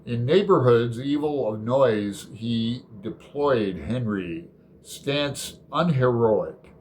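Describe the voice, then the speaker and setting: Male book vlogger, medium pitch, slow and halting delivery, casual and digressive, slight background noise. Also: animated, a little mellow, rough recording. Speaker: host of a book readalong video. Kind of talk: casual chat with poems read aloud.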